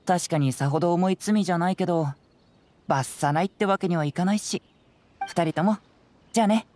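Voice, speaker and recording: A woman voicing a calm, clever young detective boy in an anime game, easygoing and confident. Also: fairly low pitch, fairly quick, a little hiss or hum, natural voice. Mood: breezy, matter-of-fact. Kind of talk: Japanese anime game voice acting.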